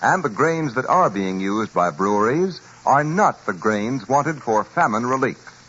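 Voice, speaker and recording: Animated, old-time male radio announcer. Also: medium pitch, unhurried, slightly rough. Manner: formal, polished, emphatic delivery. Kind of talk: 1940s scripted sponsor announcement. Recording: muffled, faintly noisy vintage radio recording.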